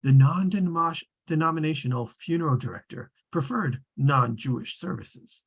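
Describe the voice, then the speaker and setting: Casting: omniscient storybook narrator played by a man, husky, measured, precise and droll, table-read narration. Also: fairly high pitch, lively intonation.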